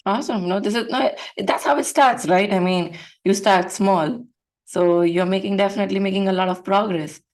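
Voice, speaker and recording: A woman's voice: mid-range voice, normal speed, a little mellow. A woman hosts an interview in an ordinary mid-range voice. She keeps her guest going with brief, encouraging replies and friendly questions. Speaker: show host, interviewer. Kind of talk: conversational interview.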